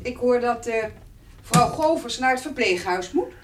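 Caring, expressive village woman. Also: on the high side, unhurried, noisy background. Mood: concerned. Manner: conversational, gently chiding. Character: caring, practical village woman, gently chiding. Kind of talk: radio play acting.

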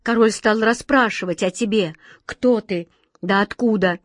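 A woman's voice: medium pitch, unhurried, dry recording, clean studio sound, close to an everyday voice. A woman voicing a huge male genie who sobs and pleads guiltily in a storybook reading. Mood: tearful pleading. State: sobbing.

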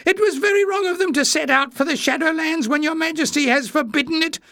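A man voicing a whining, cowardly little schemer in a shrill, squeaky, spluttering storybook voice. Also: fairly quick, gravelly, bright, forceful tone, no echo, studio quality, exaggerated voice. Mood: whimpering self-pity. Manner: spluttering, whining, melodramatic pleading. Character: whining, cowardly small male schemer, screechy and wheedling. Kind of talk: acted character voice in audiobook narration.

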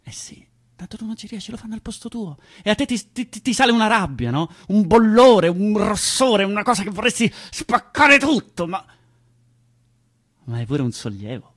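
A male actor playing an anxious, self-doubting man in a halting theatrical radio monologue, with a husky, breathy voice that moves between whispers and outbursts. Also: high voice, sing-song, a bit bright, full character voice. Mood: mounting anger, then wistful, halting. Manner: halting, stammering, repeating words. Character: anxious, self-doubting man confessing his own limits.

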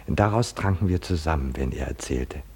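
An actor in a 1950s German radio drama playing a calm, consoling companion with a measured, solemn delivery. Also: male voice, very deep voice, breathy edge, full character voice. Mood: reminiscing. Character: short-sighted male companion of Odysseus, calm and consoling.

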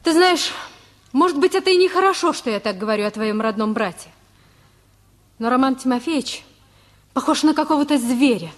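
An actress playing an anxious, considerate middle-aged wife in a radio play. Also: female voice, high-pitched, lively intonation, a little fast, long pauses, slight background noise. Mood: hesitant, worried.

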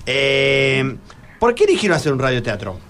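A man with an Argentine accent hosting a radio show, talking informally and a bit haltingly as he interviews students. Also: mid-range voice, sing-song, a bit bright. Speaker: radio host. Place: radio studio. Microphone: studio microphone.

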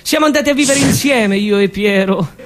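A man playing a deadpan Roman housewife. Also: high voice, fairly quick, soft background music, lightly acted. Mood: deadpan grievance. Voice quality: deadpan, repetitive, mock-reasonable. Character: Roman woman, fed up with lazy live-in partner. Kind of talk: comic stage monologue.

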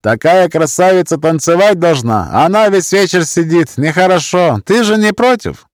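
Man doing a high-pitched, wheedling drunken bar pest. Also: animated, moderate pace, dry recording, clean studio sound, close to an everyday voice. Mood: brazen, leering. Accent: Romani-accented Russian. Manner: familiar, pushy, wheedling. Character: drunken middle-aged Romani man, brazen and wheedling.

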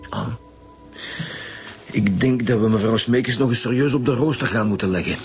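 An actor playing a steady, businesslike Flemish chief detective in a radio crime drama. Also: male voice, mid-range voice, normal speed, soft background music, close to an everyday voice. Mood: probing. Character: steady male chief detective leading a murder squad. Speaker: actor in a radio police serial.

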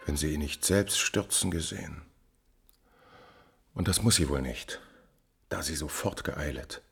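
Ein Schauspieler spielt in einem Hörspiel der 1950er einen intriganten, kaltblütigen Höfling, der berechnend, bedächtig und einschmeichelnd spricht. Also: male voice, extremely low pitch, gravelly, loud music behind the voice, exaggerated voice. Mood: calculating, coaxing. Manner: calculating, coaxing, deliberate. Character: scheming, cold-blooded male courtier, smooth servant of a prince. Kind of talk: 1950s German radio drama acting.

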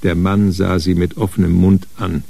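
A man narrating a crime radio drama in a measured, dry storytelling manner. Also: low voice, flat delivery, vocal fry, mellow, dry recording. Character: sober male narrator of a detective story.

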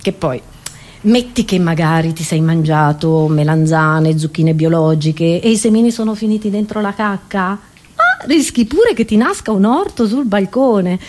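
A woman comedian with a medium-pitched voice performs a monologue. Her delivery is theatrical and playful, and she often turns to her listeners with chatty asides. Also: lively intonation, unhurried, a little mellow, slight background noise.

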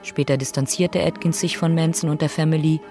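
A man reading scripted documentary narration in a sober, measured, matter-of-fact way. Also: fairly high pitch, steady tone, darkish tone, faint music behind.

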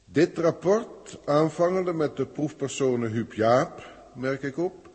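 An actor playing a haughty, impatient alien official who talks formally and pompously in a 1960s science fiction radio play. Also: male voice, a little high, animated, slow, a little mellow, slightly rough, full character voice. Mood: formal reporting. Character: haughty, impatient male alien senior proctor filing reports. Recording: echoey hall effect, old radio recording.

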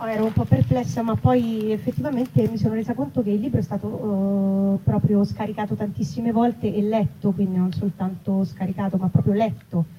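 A woman, a writer and actress, chatting casually and animatedly in an interview, often pausing to rephrase. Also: mid-range voice. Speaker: writer and actress interviewed about her book. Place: live festival event. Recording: muffled recording with clear background noise.

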